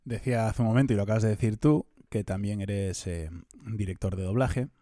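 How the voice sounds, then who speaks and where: A man's voice: on the low side, fairly quick, warm tone, dry recording, studio quality. A man interviewing a voice actor, asking eager questions and repeating words as he talks.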